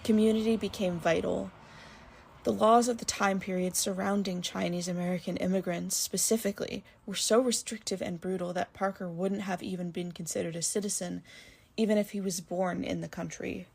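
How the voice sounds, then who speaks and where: A woman with a husky, creaky voice, earnestly reading a prepared analytical commentary in measured, articulate phrasing. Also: mid-range voice, fairly even intonation, a little mellow. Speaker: listener sending a recorded commentary. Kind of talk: prepared spoken essay, literary analysis.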